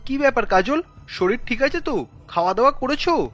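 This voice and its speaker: A man's voice: very high-pitched, expressive, brisk, a bit bright, faint music behind, full character voice. A man voicing a worried young husband in a cartoon, earnest and pleading as he explains himself. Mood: placating. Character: worried, placating young husband, anxious around his wife. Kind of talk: cartoon story dialogue.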